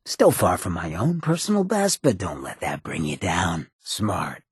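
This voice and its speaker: A roguish irradiated ghoul from a video game, with an extremely husky, gravel-rough voice on the low side. He drawls slangy, wry remarks with cocky swagger, his pitch sliding around expressively, recorded close and dry. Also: big pitch swings, a little slow, no room sound, heavily acted. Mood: gleeful swagger. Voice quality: very raspy, gravelly. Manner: sly, laid-back, slangy swagger. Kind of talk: video game companion voice lines.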